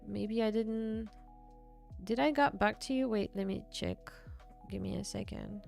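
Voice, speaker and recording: A woman's voice: medium pitch, creaky, darkish tone, faint music behind. A young woman from Bulgaria, chatting casually with her livestream viewers and answering chat. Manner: chatty, reads and answers chat, quick laughs. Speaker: streamer.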